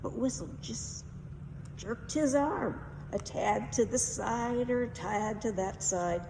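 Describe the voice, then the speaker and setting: A woman's voice: fairly high pitch, takes it slowly, gravelly, dark tone. A woman reading a children's storybook aloud with dramatic, emphatic delivery. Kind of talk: expressive children's storybook narration. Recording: distant mic, echoey hall, faint noise.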